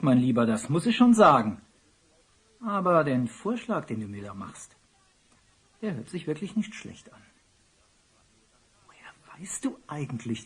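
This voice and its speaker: Husky, animated grumbling falconer. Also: male voice, mid-range voice. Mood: doubtful. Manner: animated, complaining, theatrical. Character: worried, grumbling male royal falconer, open to cheating. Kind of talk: German children's radio play acting.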